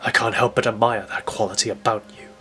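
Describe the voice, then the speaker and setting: A man voicing a cold, sly, menacing middle-aged villain in an audio drama, speaking in a slow, deliberate, breathy whisper. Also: lowish voice, fairly even intonation, sharp tone, faint music behind, pitched below the performer's own voice. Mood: menacing, weary.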